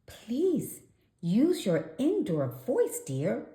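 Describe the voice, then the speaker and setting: Woman as a high-pitched prim otter librarian lady. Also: huge pitch swings, extremely slow, dark tone, heavily acted. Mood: prim scolding. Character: prim, polite grown-up female otter librarian, gently scolding. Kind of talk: children's storybook character voice. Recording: echoey room.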